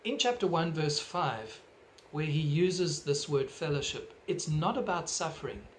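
A middle-aged South African pastor delivers an earnest home sermon, his voice sitting a little high for a man. He phrases things in measured, emphatic steps. A faint hum of room ambience sits under the webcam sound. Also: sing-song. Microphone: webcam at desk distance.

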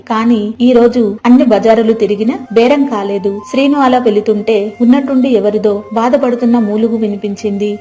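Mellow, higher-pitched woman narrating a story.

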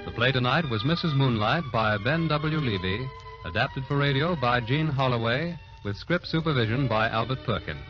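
A male announcer reads scripted credits in old-time radio style. His voice is medium in pitch, with clear music behind and a dull, vintage sound. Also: expressive, normal speed.

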